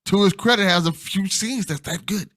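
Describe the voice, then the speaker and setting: A man's voice: high-pitched, normal speed, fairly bright tone. A man hosting a casual podcast chat, often repeating his first words as he starts a sentence. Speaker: podcast host, interviewer.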